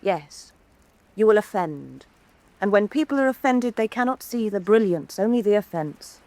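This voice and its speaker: A woman's voice: mid-range voice, expressive, moderate pace, mellow, no room sound, close to an everyday voice. An actress playing an earnest young woman painter who speaks frankly and pleads with her mother in a stage radio drama. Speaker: painter, daughter.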